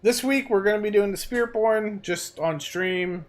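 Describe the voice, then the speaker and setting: A man's voice: high-pitched, normal speed. A man streaming a game live, chatting casually and rambling with plenty of fillers, tired and losing his voice.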